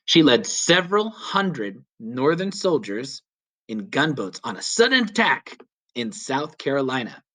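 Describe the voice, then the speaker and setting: A man reading a children's story aloud as a teacher would, with clear, deliberate, emphatic phrasing. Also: medium pitch, big pitch swings, pushed and bright. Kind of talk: children's read-aloud narration.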